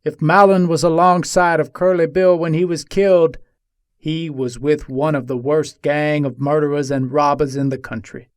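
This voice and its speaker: A man playing a jailed Old West gunfighter in a dramatic reading, giving his account in a measured, matter-of-fact way. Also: a little high, fairly even intonation, dry recording, clean studio sound, natural voice. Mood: matter-of-fact, guarded. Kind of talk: dramatic reading of an 1882 newspaper interview.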